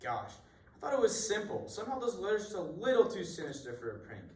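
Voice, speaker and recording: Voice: medium pitch, sing-song, fast, lightly acted. An amateur actor playing a courteous, methodical police inspector in a radio mystery. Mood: troubled, thoughtful. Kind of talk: amateur radio drama acting. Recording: echoey room, distant mic, faint noise.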